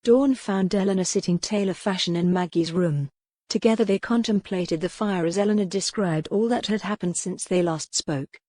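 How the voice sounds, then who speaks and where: A woman's voice: mid-range voice, moderate pace, dark tone, dry recording. A woman reading a historical romance audiobook as a dramatic, scripted narration.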